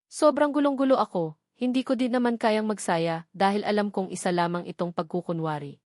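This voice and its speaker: A woman's voice: medium pitch, brisk, a little mellow, dry recording, clean studio sound. A woman with a Filipino accent reading a scripted first-person romance novel as an audiobook narrator.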